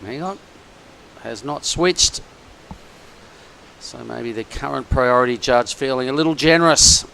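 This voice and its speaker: A male surf commentator calling a contest live, with an easy, excitable delivery pitched in the middle range. A faint hiss of noise sits beneath his voice, and the tone stays conversational, as if he is talking with a colleague. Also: measured pace, gravelly, low-quality recording. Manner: excitable, chatty colour commentary.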